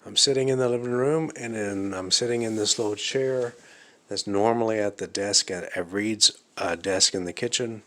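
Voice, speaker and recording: A man talks to the camera alone in his living room at night, his voice a little raspy. He speaks slowly and haltingly, with plenty of ums and uhs. His low voice has a bright, forceful edge. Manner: slow, halting, thinking aloud with many fillers. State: slightly raspy from fasting. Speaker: vlogger. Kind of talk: casual vlog monologue.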